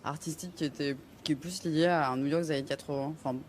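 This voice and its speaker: A woman's voice: sits low, fairly even intonation, fast talker, darkish tone, slight background noise. Tentative young woman guest. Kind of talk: TV studio conversation.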